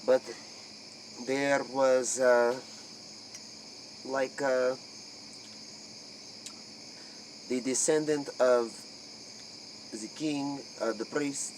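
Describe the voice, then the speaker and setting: An ancient vampire of an elite Transylvanian clan acted out by a man answers an interviewer in broken, halting English. He speaks very deliberately and slowly, repeating short phrases. Crickets and clear background noise surround the voice. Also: mid-range voice, fairly even intonation. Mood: grave. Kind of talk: improvised mock interview. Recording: noisy outdoor ambience with crickets.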